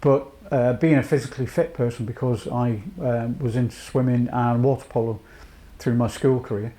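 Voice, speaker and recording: A man from North Wales in his late fifties, a charity chief executive giving a measured, reflective personal account with many pauses. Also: mid-range voice, steady tone, dark tone. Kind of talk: personal testimony to camera.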